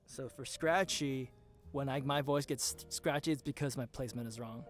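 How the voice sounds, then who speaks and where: A man's voice: medium pitch, moderate pace, faint music behind. A man co-hosting a livestream singing lesson. He talks casually, passes on viewer questions and shares his own tips. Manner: casual, reads out viewer questions, eager reactions. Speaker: co-host.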